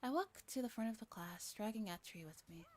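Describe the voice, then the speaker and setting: A woman reads a story aloud in a soft-spoken ASMR style, gently and with an occasional stumble. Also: medium pitch, animated, brisk. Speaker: streamer reading a visual novel aloud.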